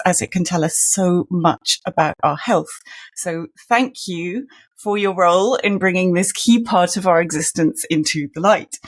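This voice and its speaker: Earnest woman podcast host. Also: mid-range voice. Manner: earnest, measured, warmly appreciative. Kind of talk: scripted intro then interview chat.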